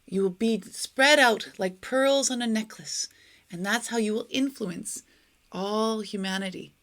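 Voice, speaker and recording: A woman voicing a wise, solemn Buddha in a storybook, proclaiming in measured phrases. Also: medium pitch, a bit bright.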